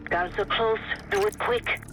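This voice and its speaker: An actress playing a cool-headed, vengeful ex-criminal assassin in a video game, giving clipped, sardonic orders. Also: female voice, mid-range voice, steady tone, a little slow, over music, close to an everyday voice, low-quality recording. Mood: cool, conspiratorial. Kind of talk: video game cutscene acting.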